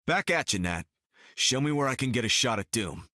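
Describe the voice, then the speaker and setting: A wisecracking archer who talks casually and quips a lot. His pitch jumps all over the place, and the recording is dry and close. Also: big pitch swings, moderate pace, sharp tone, dry recording. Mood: casual, quippy. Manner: casual and quippy.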